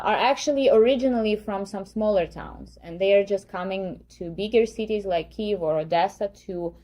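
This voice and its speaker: A young woman with a Ukrainian accent hosts a live dating webcast, offering friendly, chatty advice. Her voice is mellow and warm, and she pauses often on fillers as she explains. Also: mid-range voice. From western Ukraine. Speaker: dating agency host.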